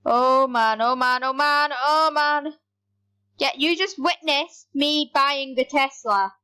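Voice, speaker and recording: A woman with a high voice gives casual, rambling commentary over her gameplay. She speaks at an unhurried pace and chats excitably to her viewers as she plays. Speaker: gaming YouTuber.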